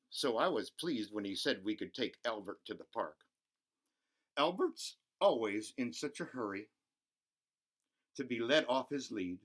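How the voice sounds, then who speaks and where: A man's voice: mid-range voice, fairly bright tone. A man voicing a cheerful, chatty young girl from a picture book, with a cheeky manner.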